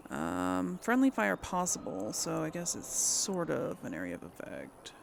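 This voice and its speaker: A woman's voice: sits low, wildly swooping pitch, measured pace, creaky, slight background noise. A woman giving casual gaming commentary, thinking aloud in a hesitant, musing way and often trailing off. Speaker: let's player hosting a role-play playthrough.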